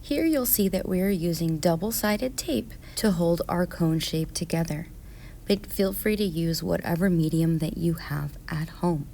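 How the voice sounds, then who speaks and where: A woman narrating step-by-step craft instructions for children in a measured, scripted way. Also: fairly low pitch, gravelly, dark tone, a little hiss or hum.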